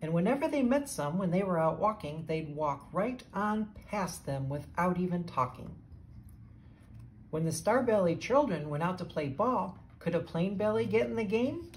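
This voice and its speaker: A woman reading a rhyming children's story aloud in an expressive storytelling style. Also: fairly low pitch, moderate pace, slight background noise. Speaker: storybook reader.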